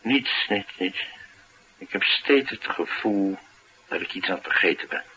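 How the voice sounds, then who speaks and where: An actor playing a streetwise, talkative driver, confiding and musing in a colloquial radio drama style. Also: male voice, low-pitched, a little slow, sharp tone. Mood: brooding, puzzled. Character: streetwise, talkative male driver, wry and brooding. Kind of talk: naturalistic radio drama acting. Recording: noisy radio drama recording.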